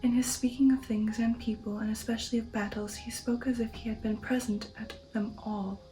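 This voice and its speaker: A woman speaking in a soft, breathy ASMR whisper, hushed and measured, as the host of a reading channel. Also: on the high side, flat delivery, soft background music, low-quality recording.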